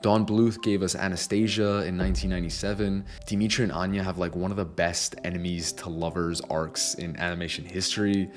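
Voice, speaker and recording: A man's voice: low voice, steady tone, a little fast, hardly any pauses, faint music behind. A young adult man narrating a scripted video essay in an earnest, opinionated and nostalgic way.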